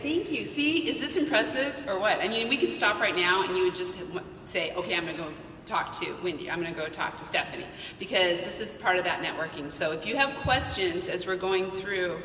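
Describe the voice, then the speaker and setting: An American woman casually moderating a panel at a live event. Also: bright, forceful tone. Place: large event hall. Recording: echoey hall, distant, faint noise and rumble.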